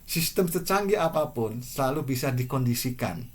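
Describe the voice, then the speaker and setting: A man in his forties from Indonesia, speaking to camera in a measured, earnest and reflective personal monologue. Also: medium pitch, animated. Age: 40s. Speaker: vlogger.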